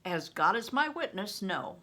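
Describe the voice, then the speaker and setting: Older lady reading as a worried peasant kid, unsure of himself, protesting, bright voice, up and down pitch. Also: big pitch swings, a little slow, exaggerated voice. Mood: self-doubting. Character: anxious, self-doubting peasant boy.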